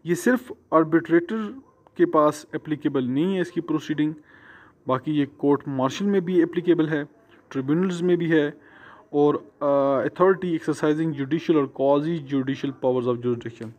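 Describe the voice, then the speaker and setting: A man with a Pakistani accent lecturing students as a law teacher, explaining deliberately and repeating and rephrasing his points. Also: on the high side, a little mellow. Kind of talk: exam-preparation lecture to students, mixing Urdu and English.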